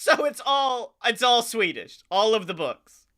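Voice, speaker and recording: A man's voice: sits high, sing-song, measured pace, pushed and bright. A man with an American accent in casual comedic banter as a podcast co-host, jumping in with jokey riffs. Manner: jokey, riffing interjections.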